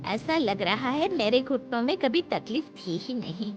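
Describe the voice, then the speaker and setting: A woman's voice: on the high side, expressive, moderate pace, dull audio, faint music behind, close to an everyday voice. A woman voicing a fussy, grumbling older mother-in-law in an exclamatory cartoon story style. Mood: grudging. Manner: exclamatory, scolding. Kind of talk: cartoon moral-story acting.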